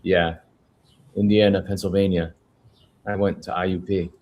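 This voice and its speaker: A man reads a lonely, worn-down proofreader in a film drama table read. His voice is deep, and he keeps the delivery low-key and conversational, with a wry, questioning edge. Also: flat delivery, normal speed, highs cut off, a little hiss or hum, close to an everyday voice. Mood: weary, low-key. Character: Cassidy, weary, lonely male newspaper proofreader, wryly curious.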